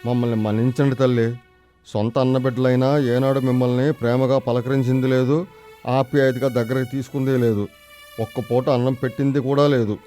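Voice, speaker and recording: Man playing a mellow, remorseful older uncle. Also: medium pitch, level tone, fairly quick, faint music behind, natural voice. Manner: apologetic, sorrowful. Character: remorseful older uncle, poor husband, humble and ashamed. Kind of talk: moral story character dialogue.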